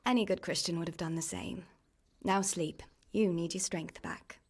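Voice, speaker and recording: A woman acting a caring, world-weary young miller's niece in a medieval video game drama, plain-spoken and gently chiding. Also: on the low side, fairly even intonation, moderate pace, close to an everyday voice. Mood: soft, concerned.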